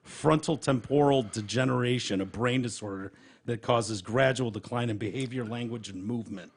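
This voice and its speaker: American male co-host, gravelly and husky, irreverent casual chat, dry and sweary. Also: medium pitch, moderate pace. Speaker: podcast co-host. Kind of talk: casual chat, reading out profiles and gossip items.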